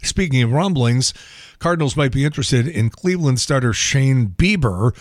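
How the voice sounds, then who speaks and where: A man with an American accent and a gravelly, thinning voice, hosting a podcast in a wry, colloquial, sometimes profane solo monologue. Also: medium pitch, lively intonation, moderate pace, no room sound, studio quality. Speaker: podcast host. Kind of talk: casual solo monologue on news and sport.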